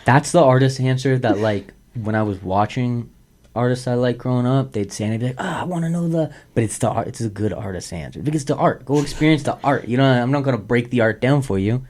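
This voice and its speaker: A man's voice: medium pitch, quick pace, a little mellow. A man, hosting a radio interview in a casual, chatty way. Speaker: radio show host interviewing guests. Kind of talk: casual chat.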